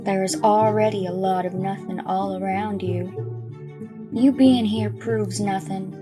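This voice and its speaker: An actress playing a pious, calmly menacing schoolteacher in a horror audio drama, preaching in a measured way. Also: female voice, medium pitch, lightly acted. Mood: solemn, preachy. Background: music.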